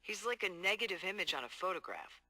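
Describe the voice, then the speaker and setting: An actor playing a determined, hard-boiled police detective dictating earnest, streetwise case logs in a video game. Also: male voice, high voice, big pitch swings, fast, bright, forceful tone, faint music behind, full character voice. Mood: analytical, concerned. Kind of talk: video game audio log monologue.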